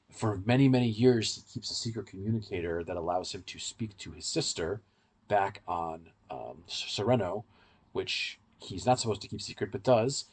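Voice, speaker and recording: A man co-hosting a podcast, giving analytical, explanatory commentary in a conversational, halting way with self-corrections. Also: lowish voice, breathy edge. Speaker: podcast co-host and reviewer. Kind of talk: casual analytical podcast discussion.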